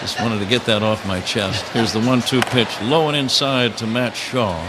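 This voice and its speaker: A man calling baseball play-by-play live on the radio, slipping wry, casual banter between pitch calls. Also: normal speed. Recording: clear ballpark noise behind. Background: outdoor stadium ambience.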